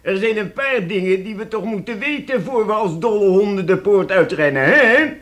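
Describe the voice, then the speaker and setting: A man's voice: high voice, expressive, normal speed, fairly bright tone. An actor playing a blunt, sceptical tradesman arguing at a meeting in a radio play, speaking emphatically. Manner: emphatic, argumentative.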